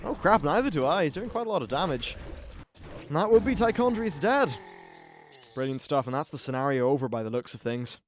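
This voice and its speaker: A man's voice: fairly high pitch, big pitch swings, fairly quick, slight background noise. A man hosting a gaming video, giving casual, informal gameplay commentary with some swearing.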